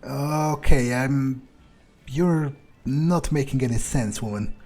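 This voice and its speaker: A man's voice: mid-range voice, slow, mellow, soft background music. A man role-playing his character in a video game playthrough, thinking aloud in a musing, hesitant, sardonic way. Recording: faint background game ambience.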